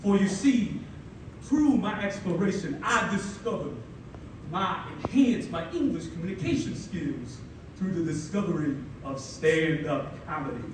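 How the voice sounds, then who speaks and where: A young man from the Philippines, a native Tagalog speaker, giving a ceremonial keynote speech with energetic, playful storytelling and dramatic pauses. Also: high-pitched. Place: large hall at a ceremony. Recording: echoey hall, mic far off, audible room noise.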